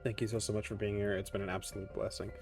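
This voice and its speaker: A man speaking as the game master and host of a tabletop roleplaying session, choosing his phrases in a measured way. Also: fairly low pitch, flat delivery, a little mellow, faint music behind.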